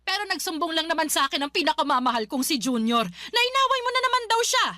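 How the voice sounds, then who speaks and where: A domineering older Filipino mother-in-law in a radio drama. Her voice is very high and piercingly bright, recorded dry. She scolds and confronts with biting sarcasm. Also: very animated, fast, no room sound, exaggerated voice. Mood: scolding, sarcastic.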